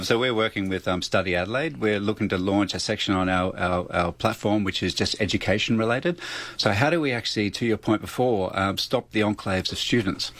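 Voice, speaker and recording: A very deep-voiced Australian man speaks at a rapid clip in a close, dry recording. He is a founder answering interview questions, laying out his case point by point and often asking questions he then answers himself. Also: vocal fry, fairly bright tone, no room sound. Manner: poses rhetorical questions and lists points. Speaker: startup founder being interviewed.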